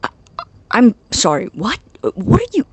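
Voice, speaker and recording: A woman playing a nervous, awkward young scientist in a naturalistic audio drama, husky and halting, easily flustered. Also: medium pitch, huge pitch swings, no room sound, full character voice. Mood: shocked, indignant. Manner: halting, stammering, indignant when challenged.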